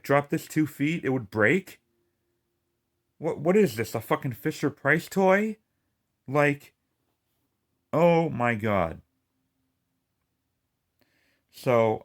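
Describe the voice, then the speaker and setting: Lisping male gamer, rambling frustrated rant, low-ish voice, unhurried delivery. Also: a bit bright. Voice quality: lisp. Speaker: gaming video creator. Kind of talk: casual ranting vlog.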